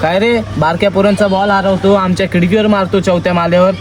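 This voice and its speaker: High-pitched man scolding. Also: fairly even intonation, quick pace. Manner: scolding, threatening. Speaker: prank caller. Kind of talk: confrontational prank phone call. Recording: noisy outdoor background.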